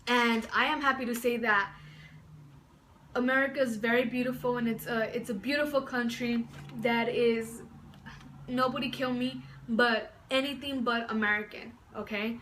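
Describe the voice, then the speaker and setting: A woman's voice: fairly high pitch, steady tone, moderate pace, fairly bright tone, slight background noise. A woman in her early twenties, raised in Southern California and born in Mexico, talking enthusiastically to camera in a rambling way, with many fillers and restarts, from indoors at home. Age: early 20s. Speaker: vlogger. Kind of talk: casual talk to camera.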